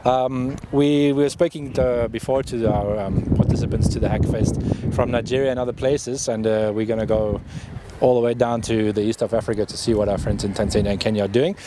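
Fast-talking man interviewing outdoors. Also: medium pitch, fairly even intonation, noisy background. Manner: quick, friendly, encouraging questions. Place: outdoors on a sunny campus terrace. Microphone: handheld recorder held toward speakers.

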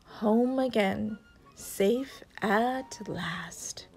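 A woman narrating a picture book aloud in a deliberate, suspenseful storytelling manner for young children. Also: a little high, gravelly, a little mellow. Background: music.

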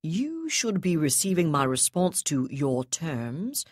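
A woman playing a male naval captain, measured, contemptuous, low voice, dry audiobook read. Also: very animated, no room sound, heavily acted. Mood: coldly calm.

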